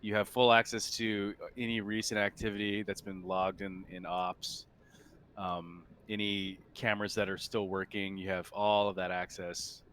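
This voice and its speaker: Man, low voice, game master, improvised tabletop narration, noticeable background noise. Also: little pitch movement, moderate pace, fairly bright tone.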